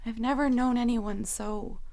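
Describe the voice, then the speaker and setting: Actress doing scared college girl in an audio drama, highish voice w some fry, pleading n insistent. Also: steady tone, unhurried, dark tone. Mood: troubled, insistent. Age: young adult. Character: haunted, frightened young student, pleading and insistent.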